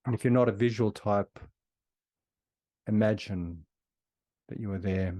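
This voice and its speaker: A man leading a guided meditation in a soothing, unhurried way with long pauses between phrases. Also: on the low side, warm tone, dry recording.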